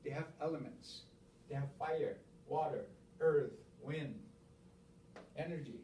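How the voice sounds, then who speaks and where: Halting Stoney-speaking man teaching, roomy and distant. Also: on the high side, dark tone, slight background noise. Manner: repeats words, counts aloud, halting restarts. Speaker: elder teacher. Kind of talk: informal spoken teaching.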